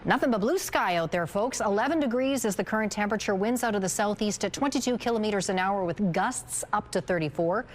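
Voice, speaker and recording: A woman's voice: mid-range voice, fairly quick, hardly any pauses, a little hiss or hum. An upbeat female weather presenter with a Canadian accent and a mid-range voice. She chats in a folksy way through the forecast, over faint background ambience.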